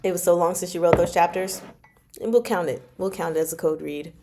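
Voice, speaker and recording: A woman with a slightly low voice hosts a relaxed livestream chat. She talks informally with viewers, drifting into giggly asides as she answers their comments. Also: brisk, very noisy. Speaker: livestream host reading chapters aloud and chatting with viewers.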